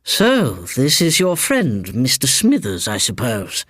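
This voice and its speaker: A man's voice: mid-range voice, wildly swooping pitch, slow pace, fairly bright tone, slightly rough, no echo, studio quality, exaggerated voice. A man voicing an imperious, condescending elderly English aunt in a story reading, with trenchant, precise speech. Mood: disdainful.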